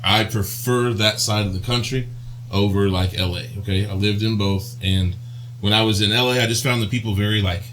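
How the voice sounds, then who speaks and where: Low-voiced animated male podcast host. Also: fairly quick, a little hiss or hum, poor audio. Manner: animated storyteller, laughs while talking. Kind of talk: casual podcast chat.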